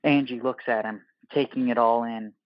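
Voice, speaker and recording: A male narrator with a medium-pitched voice reads action lines for an audio drama. His phrasing is clipped, deliberate and dramatic, close and dry, with a slightly dull sound. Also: steady tone, dry recording. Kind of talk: scripted narration of screenplay directions.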